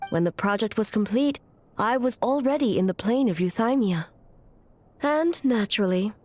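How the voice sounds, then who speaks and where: A woman voicing a stoic, aloof immortal shogun in a video game, speaking in a formal, grave and measured way over music. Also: medium pitch, darkish tone, no room sound, natural voice. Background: background music.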